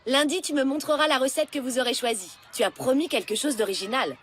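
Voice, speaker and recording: A woman's voice: high voice, fast talker, a little hiss or hum. A woman playing a kindly schoolteacher who coaxes and encourages her pupils in a cartoon. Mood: coaxing. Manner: gentle, coaxing. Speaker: teacher. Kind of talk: cartoon dub.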